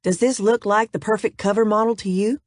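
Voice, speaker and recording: Eager young painter, high bright voice, abrupt bursts, a man puts him on. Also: steady tone, fairly quick, no echo, clean studio sound, natural voice. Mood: excited. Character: excitable young artist man, absorbed painter.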